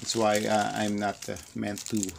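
A man's voice: on the low side, completely flat delivery, measured pace. A Filipino man whose first language is Tagalog, hesitantly talking through an unboxing with many fillers, at a desk indoors. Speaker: vlogger. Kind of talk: casual unboxing talk. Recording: clear crinkling noise behind. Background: plastic crinkling and clicks.

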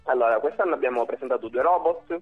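A young man explaining things haltingly in a phone interview. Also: mid-range voice, husky. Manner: hesitant, halting. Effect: telephone. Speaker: interview guest, university robotics team member. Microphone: telephone. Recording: tinny phone line, music underneath.

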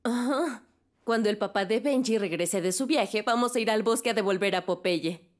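A woman voicing a calm, kindly mother in a Latin American Spanish children's cartoon. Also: medium pitch, normal speed, lightly acted. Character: kindly mother of a young boy, calm adult. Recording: music behind the voice.

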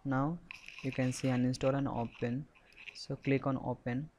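A man giving an unscripted step-by-step software tutorial in a halting, repetitive, instructional way. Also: mid-range voice, flat delivery, slight background noise.

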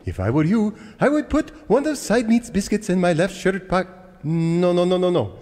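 An actor playing a fussy, formal, pompous genie in a comic radio serial. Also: male voice, sits high, animated, moderate pace, a little mellow, big-room sound. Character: fussy, formal, bewildered genie from a coffee pot. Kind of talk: parody old-time radio serial acting.

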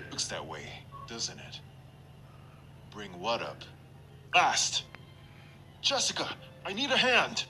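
A man playing a tough, determined special operative in a video game, terse and commanding. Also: lowish voice. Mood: urgent, shouting.

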